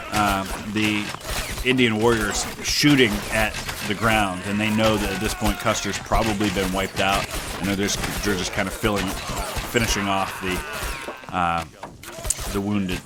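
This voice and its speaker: A man with a low voice and a stuffy, congested nose from a sinus infection. He talks in a relaxed, rambling way, full of ums and uhs, as he narrates history over a game. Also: very noisy. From Ohio, United States. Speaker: gaming channel host. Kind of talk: casual history commentary over gameplay.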